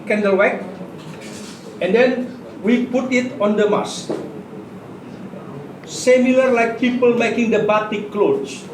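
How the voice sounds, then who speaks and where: High-voiced Indonesian-accented man in an echoey room. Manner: halting non-native English in short simple phrases. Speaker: puppet workshop guide and demonstrator. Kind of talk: informal guided craft demonstration to visitors. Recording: echoey room, distant mic, clear background noise.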